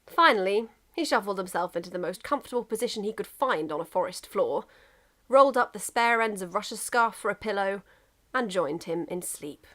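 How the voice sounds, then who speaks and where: A woman reading a scripted story aloud as an expressive narrator. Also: mid-range voice, brisk. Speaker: narrator, fan fiction reader.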